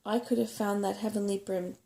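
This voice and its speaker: A woman reads out a small-tempered storybook brownie, female, crabby and quick to scorn. The voice is soft-edged and mellow with a creaky grain, full of complaint. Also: mid-range voice, level tone, close to an everyday voice. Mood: grumpy, scornful. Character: grumpy, sharp-tongued female brownie creature. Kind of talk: storybook character voice in a soft-spoken reading.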